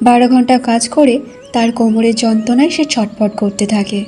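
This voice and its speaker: A woman's voice: on the high side, brisk, music in the background. A woman giving scripted narration for a cartoon folk tale.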